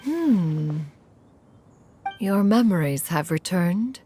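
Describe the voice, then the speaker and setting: A woman's voice: lowish voice, animated, drawn-out words, dark tone, faint music behind. A woman playing a dignified, aloof, wise immortal adept in a dramatic video game, with formal, stately, archaic phrasing. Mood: composed, solicitous.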